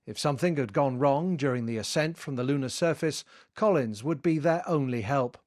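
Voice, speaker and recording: A man's voice: medium pitch, moderate pace, a little mellow, a little hiss or hum. A man narrating a scripted news report as a correspondent. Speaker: science correspondent.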